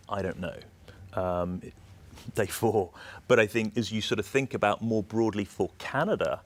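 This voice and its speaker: A man with a British accent giving measured, explanatory answers as an executive being interviewed. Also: fairly low pitch. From United Kingdom. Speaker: energy system operator executive, interview guest.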